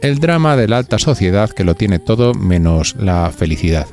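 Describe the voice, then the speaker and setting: A man with a fairly low voice and an accent from Spain hosts a gossip podcast. He narrates from a script in a chatty, playful way, confiding directly in the listener. Music plays lightly behind him. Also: lively intonation, moderate pace, a little mellow. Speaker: podcast host.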